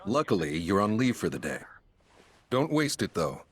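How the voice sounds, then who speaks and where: A man's voice: lowish voice, sing-song, normal speed, breathy edge. A man playing a polite, helpful advisor in a video game, speaking in an articulate, informative way. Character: courteous, helpful man giving practical advice.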